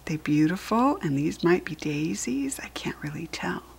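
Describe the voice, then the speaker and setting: An American woman reading a picture book aloud to young children, gently explaining things as she goes in a soft, breathy voice. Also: on the low side, lively intonation, moderate pace, a little hiss or hum. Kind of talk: read-aloud storytelling with asides to young children.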